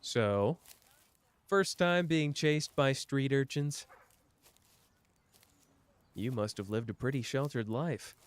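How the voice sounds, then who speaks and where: A man doing a slow, flirtatious charmer. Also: mid-range voice, smooth, no echo, close to an everyday voice.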